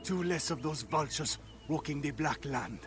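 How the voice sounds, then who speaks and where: An actor playing a bitter, world-weary villager of ancient Egypt in a video game. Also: male voice, fairly high pitch, lively intonation, normal speed, faint music behind, close to an everyday voice. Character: embittered, respectful male villager of ancient Egypt. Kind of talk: dramatic video game cutscene acting.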